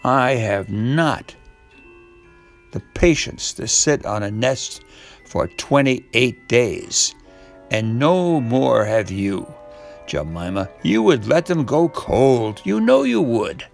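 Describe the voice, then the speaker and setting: A man voicing a bossy, impatient female duck from a storybook, with a deliberate, scolding manner. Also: mid-range voice, sing-song, gravelly, faint music behind, exaggerated voice. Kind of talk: storybook character reading.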